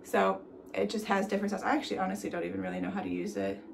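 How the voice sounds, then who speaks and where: A woman's voice: medium pitch, very animated, very fast, vocal fry, noisy background. A young woman in her twenties, congested and chatty, talking to camera in a nursery room. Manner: chatty, with many "like"s and light laughs. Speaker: vlogger. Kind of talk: casual chat to camera.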